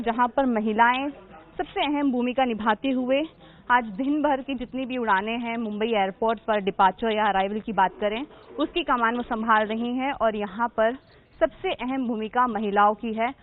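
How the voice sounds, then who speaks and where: A woman's voice: a little high, steady tone, normal speed. A woman news reporter presenting from the scene and questioning a guest in a formal, emphatic way. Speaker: field reporter. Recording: narrow phone-like audio.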